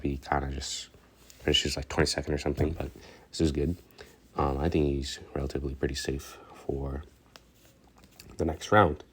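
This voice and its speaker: A man giving a casual, rambling recap of a stock car race, stuffed up and hesitant, full of fillers and restarts. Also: a bass voice. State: congested, stuffed up. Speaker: racing commentator.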